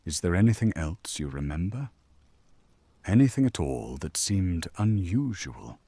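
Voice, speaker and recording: A man playing a shrewd detective inspector in a noir audio drama, husky, deliberate and probing. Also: deep voice, big pitch swings, warm tone, no room sound, heavily acted. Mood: measured, probing.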